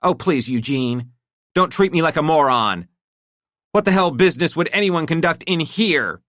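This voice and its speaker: Man voicing a high, lively, shaking wife on the edge of hysteria; storybook reading. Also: moderate pace, dry recording, studio quality. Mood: accusing. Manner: shaking, accusing, rising to shrieks. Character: distraught betrayed middle-aged wife, shrill.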